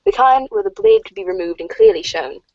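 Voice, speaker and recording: A woman's voice: high voice, very animated, a little fast, gravelly, no room sound. A woman in an amateur reader's theater cast, recorded in muffled lo-fi audio. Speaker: voice cast member.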